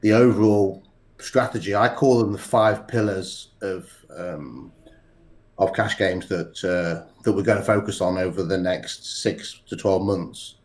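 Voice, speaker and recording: A man with a cold, speaking in a halting way with many pauses and restarts as a podcast interview guest. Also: deep voice, darkish tone. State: congested with a cold. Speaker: poker executive guest.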